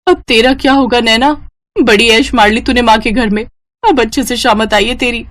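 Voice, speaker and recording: A woman voicing a young new bride in an animated storybook, put-upon and grumbling, talking to herself in exasperated asides. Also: fairly high pitch, moderate pace, natural voice. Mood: self-mocking. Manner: exasperated, self-mocking asides.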